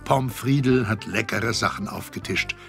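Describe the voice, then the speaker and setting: A storyteller with a low voice and some gravel in it. He narrates a children's adventure radio play over soft music. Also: normal speed. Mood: storytelling.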